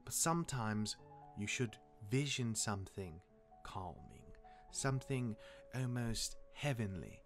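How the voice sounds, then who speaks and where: An actor playing a patient, chatty demigod elder brother and mentor in a fantasy audio drama, instructive and conversational, with music behind him. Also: male voice, lowish voice. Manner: conversational, instructive, hesitant asides.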